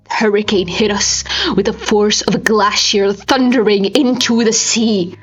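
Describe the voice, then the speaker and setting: A woman's voice: medium pitch, steady tone, unhurried, muffled recording, faint music behind. A woman reading a horror story aloud in an intense, theatrical manner. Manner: intense, theatrical, with breathy whispers and strained cries. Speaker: amateur audiobook reader. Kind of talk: dramatic scripted horror story narration.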